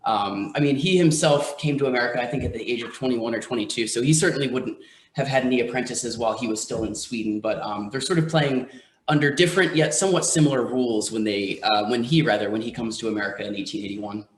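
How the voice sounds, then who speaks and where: A man's voice: medium pitch, fast talker. A man speaking as a museum curator on a panel, hesitant with many fillers, recorded in a museum room. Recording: echoey room.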